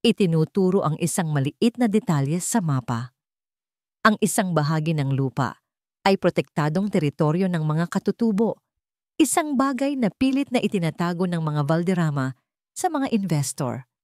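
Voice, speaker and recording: Low-voiced Filipino woman narrating, dramatically expressive. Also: normal speed, dry recording, clean studio sound. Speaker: story narrator. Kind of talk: dramatic scripted audiobook-style narration.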